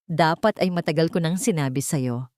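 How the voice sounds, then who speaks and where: A woman voicing a sorrowful, guilt-ridden mother in a story, speaking haltingly. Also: low voice, a little mellow, no room sound, clean studio sound, natural voice. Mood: grieving confession.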